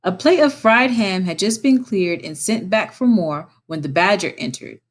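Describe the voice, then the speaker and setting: A woman reading a story aloud as a teacher, in an expressive narrating style. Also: mid-range voice, normal speed.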